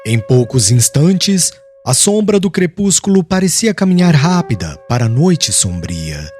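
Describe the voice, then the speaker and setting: A man's voice: a little high, very animated, a little slow. A man narrating an audiobook in a formal, literary and dramatic scripted style. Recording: soft music underneath.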